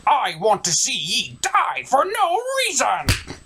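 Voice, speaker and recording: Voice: medium pitch, huge pitch swings, slow pace, bright, forceful tone, slight background noise, voice pushed up, heavily acted. A comedy narrator acts out a bloodthirsty hero with pirate speech. The threats are drawn out and gleefully violent, in puppet-parody style. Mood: gleefully menacing.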